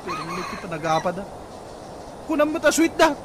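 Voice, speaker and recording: A man acting in an Ilocano radio comedy drama as an excitable, exclamatory, put-upon husband who peddles fish. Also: extremely high pitch, fast talker, background noise. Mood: aggrieved. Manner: exclamatory, wailing when upset.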